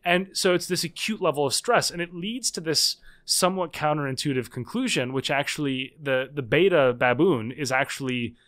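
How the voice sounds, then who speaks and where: A man in his thirties, a guest author explaining ideas in a podcast interview, animated and full of quick restarts. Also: fairly high pitch.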